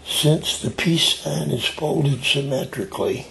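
An older man with a raspy, deeply gravelly voice walks through a craft technique as he does it. He talks in an unhurried way, explaining each step while he works. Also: expressive, bright, forceful tone, poor audio. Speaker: tie-dye hobbyist demonstrating. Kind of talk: slow hands-on craft demonstration.

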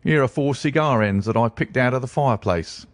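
A man's voice: mid-range voice, no room sound, pitched above the performer's own voice, close to an everyday voice. A man voicing a hearty, self-assured police inspector in a storybook reading. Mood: confident. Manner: brisk, loud, matter-of-fact.

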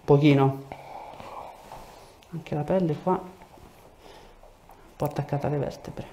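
Male chiropractor, 30s. Mellow tone, instructing a patient in a reverberant treatment room. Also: a little high, steady tone, slow, muffled recording. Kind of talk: hands-on clinical talk to a patient, giving instructions and explanations. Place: small treatment room, indoors. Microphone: lapel mic. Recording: echoey room with background noise.

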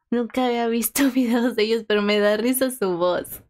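A woman's voice: on the high side, moderate pace, darkish tone. A woman reacting casually and exclamatorily as a video host, dropping in English slang. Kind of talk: casual live reaction commentary.

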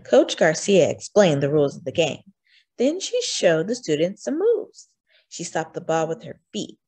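A woman reading a children's storybook aloud in an expressive, acted-out way. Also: sits low, normal speed, slightly rough. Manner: expressive, acts out dialogue. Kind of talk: animated scripted storybook narration.

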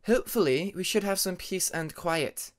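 A man's voice: high voice, normal speed, voice pushed up, natural voice. A man voicing an aloof young female ice dragon with a formal manner, in an audiobook story. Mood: businesslike. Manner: formal, focused.

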